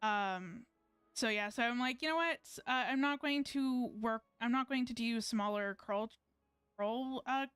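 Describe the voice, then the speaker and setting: Female VTuber, casual rambling game-stream chat, high clear voice, very little room sound. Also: measured pace, fairly bright tone, dry recording. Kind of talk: casual livestream chat while gaming.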